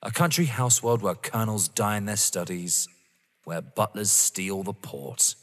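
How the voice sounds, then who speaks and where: A man acting a defiant, contemptuous, wounded rival in an audio drama, husky and emphatic. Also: fairly low pitch, unhurried, close to an everyday voice. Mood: cold contempt. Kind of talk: dramatic stage-play acting. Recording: long echoing reverb.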